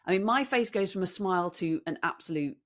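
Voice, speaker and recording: A British woman co-hosting a podcast in casual chat, telling stories in an animated, teasing way. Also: fairly low pitch, a little fast. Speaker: podcast co-host. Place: room in central London.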